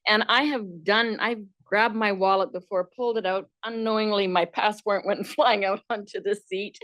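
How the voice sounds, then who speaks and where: A woman's voice: mid-range voice, fairly even intonation, normal speed, fairly bright tone. Chatty woman co-founder on a panel. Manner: chatty, anecdotal, then businesslike. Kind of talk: panel talk with personal anecdote. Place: conference room with audience.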